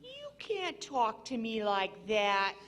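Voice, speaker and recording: An actress playing a melodramatic, high-strung young niece in a 1940s radio drama, with theatrical exclamations. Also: female voice, wildly swooping pitch, slow pace, fairly bright tone, full character voice. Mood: accusing, defiant.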